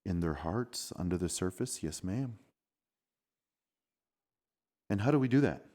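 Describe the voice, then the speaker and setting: Low, mellow storytelling dad. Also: male voice, lively intonation, brisk. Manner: encouraging, patient questioning. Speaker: father reading to his children, host. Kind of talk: storybook reading and gentle chat with children.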